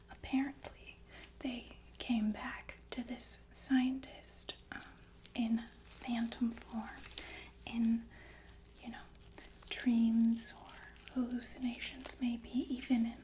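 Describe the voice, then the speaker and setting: Whispering sinister killer nurse played by a woman. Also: on the high side. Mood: chatty, eerie. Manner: unhurried, hesitant, softly matter-of-fact about death. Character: calm, sinister killer nurse, polite and chillingly chatty. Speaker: ASMR performer. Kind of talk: whispered ASMR roleplay monologue.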